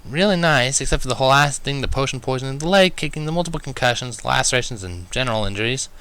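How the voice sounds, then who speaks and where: A man's voice: mid-range voice, expressive, fast talker, bright, forceful tone, clean tone. A man voicing a brainy, exasperated female pony in a pointed, emphatic way for a storybook reading. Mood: caustic, listing.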